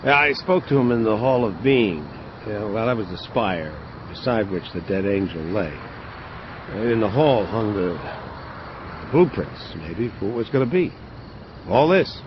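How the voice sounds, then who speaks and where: An actor playing a grimly determined avenging angel detective, plain-spoken and terse, in a hardboiled audio drama. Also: male voice, fairly low pitch, lively intonation, unhurried, dull audio, noisy background. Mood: wry, reflective.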